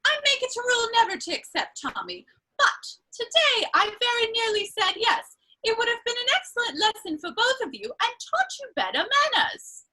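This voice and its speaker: A woman's voice: very high voice, sing-song, moderate pace, bright, forceful tone, full character voice, rough recording. An actress playing a witty, teasing young society lady in a comedy of manners, with an arch, theatrical and playfully scolding manner. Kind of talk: stage comedy of manners, dramatic reading.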